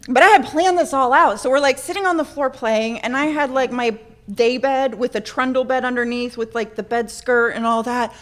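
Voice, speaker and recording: Female speaker, fairly high register, from central Florida, sharing personal recovery experience with a group; candid, informal, self-deprecating delivery. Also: moderate pace, hardly any pauses. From central Florida, born in Norway. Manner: candid, self-deprecating, animated when acting out moments.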